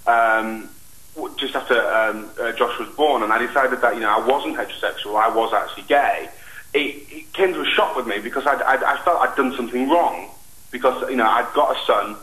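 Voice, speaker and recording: Young man, bright forceful voice, roomy echo, earnest, halting, phone-in caller. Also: medium pitch. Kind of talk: personal account on a live call.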